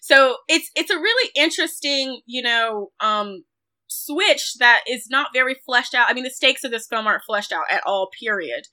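Bright-voiced, high-pitched woman chatting about film. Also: expressive, moderate pace. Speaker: film podcast co-host. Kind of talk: casual film discussion.

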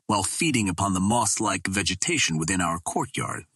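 A man narrates classic adventure fiction in a low voice with a husky, slightly rough grain. His delivery is measured and formal, like scripted literary storytelling, and the recording is close and dry. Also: animated, a bit bright, no room sound. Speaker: audiobook narrator.

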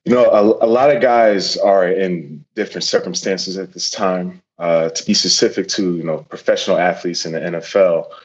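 A man's voice: deep voice, fairly even intonation, moderate pace, hardly any pauses, lo-fi sound. A man in his twenties from Connecticut, a professional football player, talking casually as a guest with many fillers and restarts. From Connecticut, USA.

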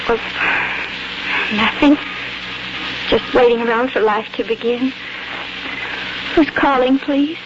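A woman's voice: fairly high pitch, takes it slowly, pauses often. An actress playing a sweet, playful young woman in love, in 1940s radio drama style. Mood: playful, romantic. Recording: muffled, noisy 1940s radio recording.

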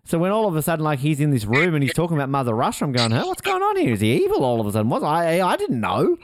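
A man co-hosts a casual podcast in a very animated voice. His delivery rambles in a jokey way, and the sound is dry, with little room echo. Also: a little high, very fast. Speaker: podcast co-host. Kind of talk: casual chat.

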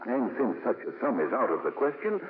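A man playing an authoritative, skeptical bishop in a 1950s radio drama, formal and measured, courteous even when exasperated. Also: medium pitch. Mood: firm, exasperated. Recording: muffled old radio broadcast recording.

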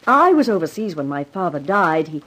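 A woman's voice: on the low side, sing-song, normal speed, fairly bright tone, poor audio. An actress playing a composed, businesslike female boss in a 1950s radio detective drama.

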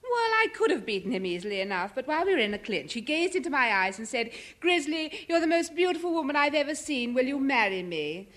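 A woman's voice: high voice, big pitch swings, fast talker, few pauses, fairly bright tone. An actress playing a mighty, domineering woman with imperious delivery in a 1950s radio sitcom. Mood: coy, smug. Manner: imperious, theatrical commands. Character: domineering, mighty lady wrestler turned bossy employee. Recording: old radio comedy recording.

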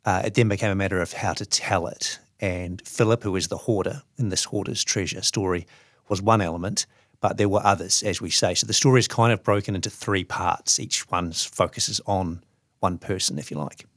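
A man's voice: sits low, normal speed, fairly bright tone, no room sound, clean studio sound. A man with a New Zealand accent, speaking as a journalist. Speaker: journalist reading his own story.

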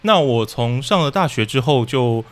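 A man's voice: mid-range voice, animated, moderate pace. A man in his twenties with a Taiwanese Mandarin accent, talking casually and a little hesitantly as a podcast guest. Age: 20s. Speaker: podcast guest, audio drama troupe leader. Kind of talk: casual interview chat.

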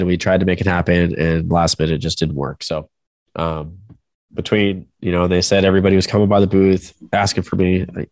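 Deep guy with a stuffy nose rambling on a podcast, dry close mic. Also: no echo. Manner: joking, rambling, self-deprecating. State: stuffed up with a cold. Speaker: podcast co-host. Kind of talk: casual podcast chat.

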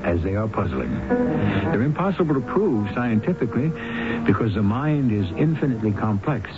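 A man with a low voice introduces a radio mystery in a dramatic, deliberate style. His pitch moves widely and portentously. Loud music plays behind him on the old broadcast. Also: very animated. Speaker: host and narrator. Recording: dull 1970s radio broadcast.

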